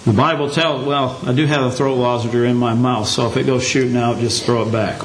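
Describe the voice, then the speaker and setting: Hoarse older preacher in an echoey church. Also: male voice, mid-range voice, steady tone, brisk, background noise. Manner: plain folksy delivery with light humor. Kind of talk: sermon. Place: church meeting room. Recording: echoey room.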